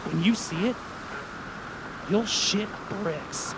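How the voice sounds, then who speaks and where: A performer playing a distraught, guilt-ridden teenage boy, the class clown, halting and overwrought in a comedic audio drama. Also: medium pitch, heavy background noise. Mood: unhinged, desperate.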